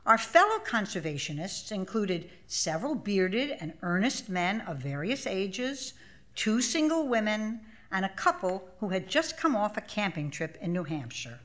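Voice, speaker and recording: A woman in her seventies reading her own essay aloud at a live event, measured and expressive with a wry delivery. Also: medium pitch. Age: 70s. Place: small theater stage, live event. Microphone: stage microphone.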